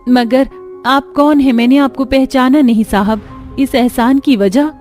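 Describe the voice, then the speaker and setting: Woman doing a sweet humble young bahu in a cartoon, highish, earnest, faint music. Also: steady tone, measured pace, darkish tone. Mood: puzzled. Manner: earnest, pleading. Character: kind, humble young daughter-in-law of a poor household.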